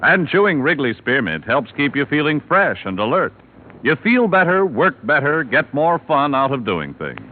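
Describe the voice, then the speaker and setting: A man's voice: fairly high pitch, sing-song, moderate pace. A male announcer reading a sponsor ad and credits in polished 1950s radio style. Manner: bright, polished announcer delivery. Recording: tinny old radio broadcast with music.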